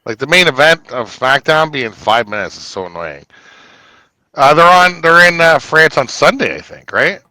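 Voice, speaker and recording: A man's voice: on the high side, sing-song, normal speed, pushed and bright, no room sound, rough recording. A man co-hosting a wrestling talk podcast in a joking, playful casual chat. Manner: joking, playful, sings along. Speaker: podcast co-host.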